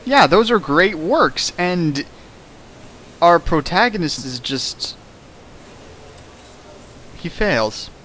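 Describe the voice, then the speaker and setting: A man's voice: on the high side, sing-song, extremely slow, long pauses, fairly bright tone, slight background noise. A man hosting a casual critical commentary, speaking emphatically and jokily and repeating himself for effect. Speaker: host of a dramatic fan fiction reading. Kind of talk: casual critical commentary, partly read from a script.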